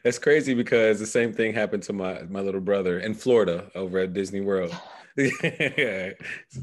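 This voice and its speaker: A man in his thirties coaching a client over a headset, giving measured guided instructions. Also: fairly low pitch. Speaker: coach guiding a client through a meditation process. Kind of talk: guided meditation and coaching conversation. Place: small indoor room, video call. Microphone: headset microphone.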